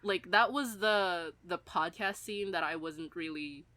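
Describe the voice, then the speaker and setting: A woman's voice: on the low side, unhurried, sharp tone, dry recording. A young woman co-hosting a podcast, talking in an informal, chatty way. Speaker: podcast co-host. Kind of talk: casual chat.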